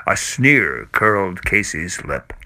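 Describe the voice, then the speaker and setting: A man reading a poem aloud as a dramatic recitation, with theatrical, measured and emphatic delivery. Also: deep voice, big pitch swings, sharp tone, no room sound. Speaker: poem reader.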